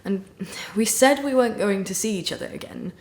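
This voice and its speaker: Actress as young woman, mid-range voice, guilt-ridden and nervy; halting, rambling confessional delivery for naturalistic drama monologue. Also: mid-range voice, animated, a little mellow, roomy sound, close to an everyday voice. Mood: guilty, subdued. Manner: halting, rambling, blurting, with nervous laughter. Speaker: acting student.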